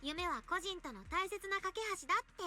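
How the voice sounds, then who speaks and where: Chirpy laid-back anime game girl, bright high voice, talks super fast. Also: expressive, heavily acted. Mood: cheerful, earnest. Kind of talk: Japanese anime game voice acting. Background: game music.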